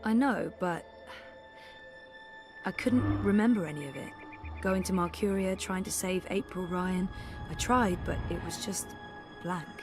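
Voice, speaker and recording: A woman's voice: fairly low pitch, a little slow, pauses often, over music, lightly acted. A woman playing a young adventurer in a video game, thoughtful and determined, with a sincere, understated, natural delivery. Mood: gentle, regretful. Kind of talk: video game character acting.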